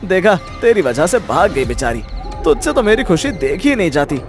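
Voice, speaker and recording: Man acting an excitable young buddy, high-pitched. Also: normal speed, music in the background. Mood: teasing. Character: carefree young male friend turned terrified by a ghost. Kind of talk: acted dialogue in horror storytelling.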